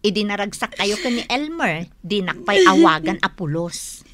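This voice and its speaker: A woman playing a frank, gossipy young friend who chides in an animated way in an Ilocano radio drama. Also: mid-range voice, moderate pace, no echo. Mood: teasing, chiding.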